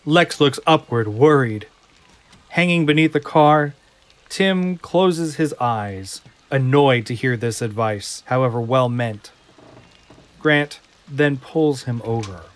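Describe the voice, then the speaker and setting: A man narrating an audio drama from a script in a deliberate, suspenseful manner. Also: mid-range voice, lively intonation, no room sound.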